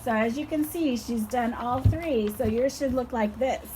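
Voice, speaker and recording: An older woman teaching a small child outdoors, speaking slowly and patiently with encouragement, her voice going hoarse. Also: a little high, noisy background. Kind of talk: home lesson for young children.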